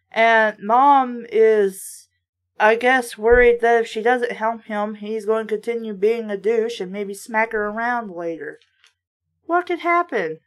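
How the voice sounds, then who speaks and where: Blunt, higher-voiced woman reading and commenting. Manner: blunt, sardonic, casually profane. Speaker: book reviewer and host. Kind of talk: casual read-aloud with running critical commentary.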